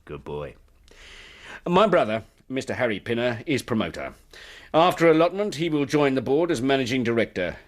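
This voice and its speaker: An actor in a radio drama playing a genial, persuasive company recruiter, effusive and patronising. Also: male voice, mid-range voice, very animated, normal speed, natural voice. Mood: confidential.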